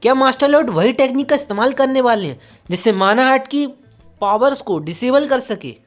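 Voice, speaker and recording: A loyal knight , acted out by a story narrator, anxious for his master and earnest in speech. The pitch is lively, with music underneath. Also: a little fast. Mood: shocked. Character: loyal, anxious male knight retainer of young lord.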